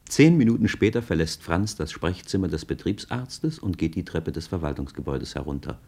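A man's voice: low voice, animated, a little fast, darkish tone, slightly rough, exaggerated voice. An actor playing a knowing male narrator in a radio play, conversational and wry as he follows his hero. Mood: confiding. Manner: conversational, wry, present-tense commentary. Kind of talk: scripted radio drama narration.

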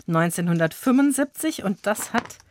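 A woman's voice: medium pitch, animated, moderate pace, a little mellow, a little hiss or hum. A woman hosting a cultural radio programme, composed and articulate as she presents and interviews in a studio. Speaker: radio host.

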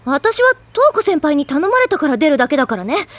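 High, prickly tsundere schoolgirl. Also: sing-song, fairly quick, a bit bright, no room sound. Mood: flustered, defensive. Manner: curt, snappish. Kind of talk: anime-style audio drama acting.

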